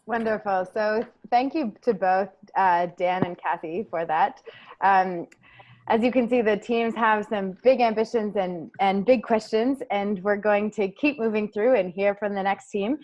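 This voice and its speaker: A woman's voice: mid-range voice, a little slow, a little mellow. Woman moderating, muffled call audio. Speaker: meeting moderator.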